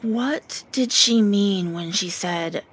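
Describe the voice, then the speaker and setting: A woman's voice: mid-range voice, takes it slowly, slightly rough. A woman playing a serious, steadfast companion in a fantasy audio drama.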